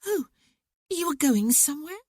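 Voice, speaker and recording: A narrator voicing a lively young girl who fires off eager questions in a high voice in an audiobook. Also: normal speed, no room sound, studio quality. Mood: alarmed. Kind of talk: audiobook character voice.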